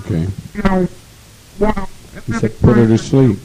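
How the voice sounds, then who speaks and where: A man's voice: fairly low pitch, takes it slowly, warm tone, poor audio. A man leading a meeting, speaking intensely and repetitively in a preaching manner on an old archival tape. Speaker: group leader addressing a meeting. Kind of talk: commanding speech to an assembly.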